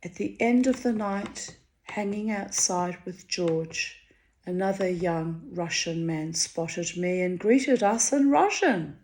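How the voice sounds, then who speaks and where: A Hungarian-born woman reading her own diary entries aloud, wry and theatrical, her voice swinging high and low for effect. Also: animated, takes it slowly, slight background noise. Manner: wry, theatrical, dramatic swings of pitch and loudness.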